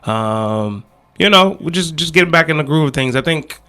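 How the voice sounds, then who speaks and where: Bright-voiced man chatting on a podcast. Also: fairly high pitch, normal speed, clean tone. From Washington, D.C. area, United States. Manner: relaxed, many fillers and restarts, slangy. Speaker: podcast host and guest. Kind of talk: casual interview chat.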